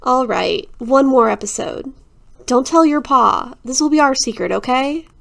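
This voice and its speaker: A woman's voice: high voice, measured pace, gravelly, a bit bright, exaggerated voice. A woman playing a caring young college-age babysitter in an amateur audio drama. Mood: kindly, indulgent.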